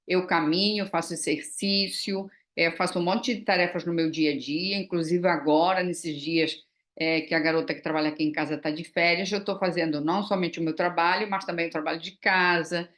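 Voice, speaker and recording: A woman's voice: fairly low pitch, steady tone, fairly quick, a bit bright. A woman, chatting casually in a vlog and explaining things with frequent fillers and tag questions. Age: 60s. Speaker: vlogger. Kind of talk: casual chat.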